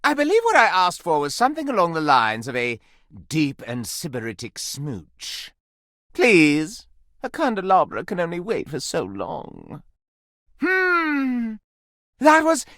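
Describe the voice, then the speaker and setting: A man's voice: sits high, huge pitch swings, unhurried, fairly bright tone, no echo, clean studio sound, full character voice. A man voicing a flamboyant, lustful living candelabra in a video game, with florid, pompous, innuendo-laden diction and theatrical melodrama. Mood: coy, sultry.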